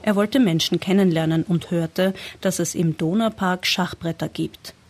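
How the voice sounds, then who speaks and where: Woman narrator for a radio feature, husky and a touch low, measured reads. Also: fairly even intonation, darkish tone. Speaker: radio reporter. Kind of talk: scripted narration.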